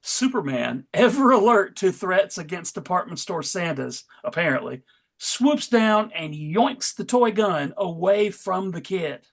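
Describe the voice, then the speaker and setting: A man's voice: high-pitched, expressive, a little slow, creaky. Wry man hosting a comic table read. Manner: wry, tongue-in-cheek asides. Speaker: host and narrator. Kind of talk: dramatic narration of a comic-book table read.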